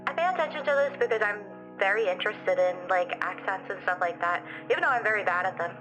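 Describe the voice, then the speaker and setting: Bright, giggly Australian woman. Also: medium pitch, lively intonation, fast, soft background music, poor audio. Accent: softened city Australian. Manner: rambling, giggly, many fillers. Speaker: livestreamer. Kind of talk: casual chat reading viewer comments.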